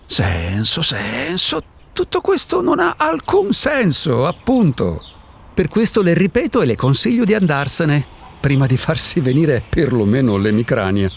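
Actor as husky, animated eccentric loner. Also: male voice, on the high side, unhurried, heavily acted. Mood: impatient, lecturing. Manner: provocative, impatient, philosophizing. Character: eccentric solitary man insisting he does not exist. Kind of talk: Italian radio drama acting.

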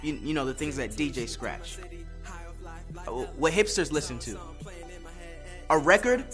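A young American man presenting a scripted comedic web show in a playful, animated, slangy way. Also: fairly high pitch, a little slow, music in the background. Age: young adult. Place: studio with green screen, music behind.

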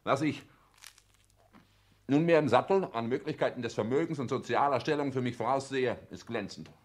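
An actor in a 1960s German radio play, voicing a cold, calculating young careerist in formal, declamatory, precise speech. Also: male voice, mid-range voice, moderate pace, lightly acted. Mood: triumphant. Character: cold, calculating young male social climber turned director.

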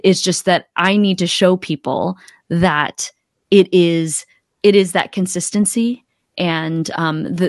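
A woman's voice: on the low side, no echo. A woman speaking as a podcast guest and coach, earnest and reflective in a casual conversation, close to a desktop mic. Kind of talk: casual interview chat. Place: indoor home room on a video call.

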